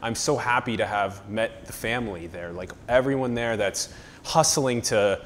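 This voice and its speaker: A man's voice: fairly low pitch, moderate pace, a little hiss or hum. A man hosting an interview podcast, talking casually and with enthusiasm. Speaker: podcast host.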